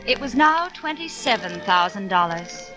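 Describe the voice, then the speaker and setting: A woman's voice: mid-range voice, big pitch swings, normal speed, low-quality recording. An actress playing a wry wife narrating her family's misadventures in a 1940s radio play. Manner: wry, storytelling. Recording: old radio broadcast with music.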